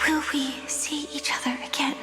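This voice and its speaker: An actress playing a solemn, wistful young female soldier in a video game, with a soft, halting delivery. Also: high-pitched, level tone, music in the background, lightly acted.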